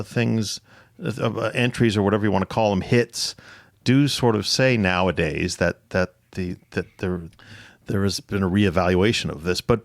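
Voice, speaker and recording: Creaky-voiced man hosting a halting interview. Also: fairly low pitch, no echo, clean studio sound. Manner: halting, repeats words and restarts often. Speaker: podcast host. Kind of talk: conversational interview.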